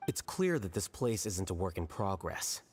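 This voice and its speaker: A man voicing a calm, logical male scholar in a video game, speaking in a cool, matter-of-fact way. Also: low voice, moderate pace, breathy edge, exaggerated voice.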